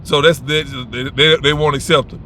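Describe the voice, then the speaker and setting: Blunt, profane man with a bright voice. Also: fairly high pitch, fairly even intonation, moderate pace, slight background noise. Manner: blunt, rambling, profane, with many restarts. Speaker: host giving advice. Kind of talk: casual unscripted monologue.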